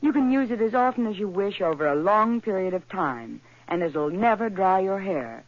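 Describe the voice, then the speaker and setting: A woman's voice: mid-range voice, expressive, normal speed, lightly acted. A woman playing an enthusiastic female shampoo spokeswoman in a 1940s radio commercial, talking in a gushing, upbeat way. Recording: dull old radio recording.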